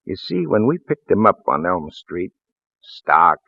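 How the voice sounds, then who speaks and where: An actor playing a folksy, shrewd small-town police lieutenant in a 1940s radio drama, hesitant and apologetic in manner. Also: male voice, deep voice, sing-song, a little slow, creaky, dry recording, full character voice. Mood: shrewd, regretful. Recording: muffled vintage radio recording, phone-like band.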